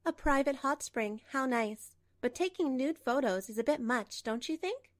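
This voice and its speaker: A woman playing a composed betrayed wife in a manga dub, narrating and speaking with measured, pointed delivery. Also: high voice, dry recording, studio quality, natural voice. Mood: coolly sarcastic. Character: betrayed wife, composed, coolly resolute, narrating her story.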